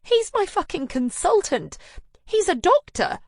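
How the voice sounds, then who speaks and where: A woman reading an audiobook, voicing a brash, fussy young female friend in high, excitable exclamations. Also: moderate pace, no room sound. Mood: shocked. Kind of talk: audiobook character voice. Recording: dry close studio recording.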